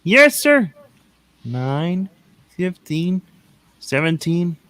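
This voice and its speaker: A man's voice: high-pitched, sing-song, very slow, fairly bright tone, no echo, exaggerated voice. A man playing a meek, dutiful office clerk in a radio-play reading, deferential and hesitant. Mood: absorbed. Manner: deferential, hesitant, mumbling to himself.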